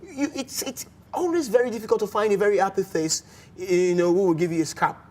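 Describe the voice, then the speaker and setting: A man with a Nigerian accent hosting a casual sports talk show in a studio, animated and often restarting his words. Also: high-pitched, brisk. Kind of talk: casual studio chat with phone-in.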